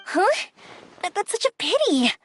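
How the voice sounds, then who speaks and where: High-voiced, bubbly young woman. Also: very animated, a little slow, a little hiss or hum, exaggerated voice. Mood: cheerful, eager. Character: bubbly young woman, sweet, earnest and eager. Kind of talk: English video game voice acting.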